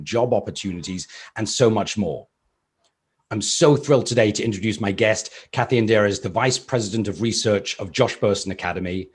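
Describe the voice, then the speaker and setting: A man with a low, somewhat husky voice opens a business webinar. He talks like a prepared host, polished and upbeat, with a few fillers along the way. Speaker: webinar host, company chief executive.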